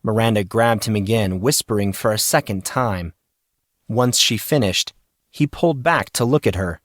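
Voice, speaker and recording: A man's voice: fairly low pitch, moderate pace, no echo, clean studio sound. A man reading scripted fiction narration as the narrator of an audio story.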